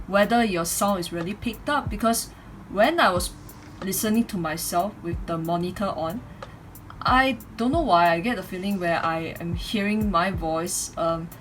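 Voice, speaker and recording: A woman's voice: mid-range voice, fairly bright tone, poor audio. A woman in her twenties with a Singaporean accent, speaking as an amateur reviewer into a microphone in a room at home. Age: 20s. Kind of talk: casual microphone review with read-aloud speech samples. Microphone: USB condenser microphone. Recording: home USB microphone recording, clear background noise.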